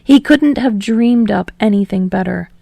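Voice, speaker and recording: Creaky-voiced woman narrating fiction. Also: mid-range voice, measured pace, warm tone, no room sound. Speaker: audiobook narrator.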